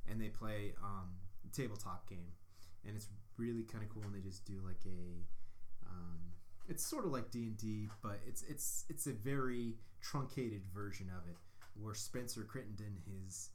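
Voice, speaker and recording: A man's voice: fairly low pitch, normal speed. A man co-hosting a podcast in casual chat, rambling and joking with lots of fillers. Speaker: podcast co-host.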